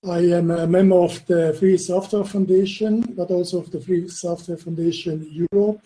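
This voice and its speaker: A man introduces himself over a video call in halting phrases, full of uh fillers. His voice sits fairly high for a man but sounds very dark and mellow. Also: fairly even intonation, low-quality recording. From Italy. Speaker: panel guest.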